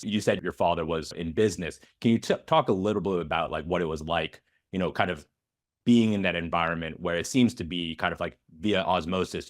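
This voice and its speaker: A man from the United States hosting a podcast, reading a scripted intro and then asking questions with lots of hesitations. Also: deep voice. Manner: hesitant, many fillers and restarts in questions. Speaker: podcast host. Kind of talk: casual interview chat.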